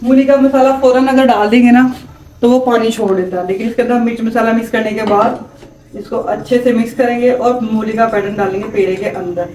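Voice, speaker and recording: High-voiced Pakistani woman cooking. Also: steady tone, brisk. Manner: chatty, explains each step to viewers. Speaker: home cook, vlog regular. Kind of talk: casual cooking demonstration. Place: home kitchen. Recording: roomy, from a distance, faint background hum.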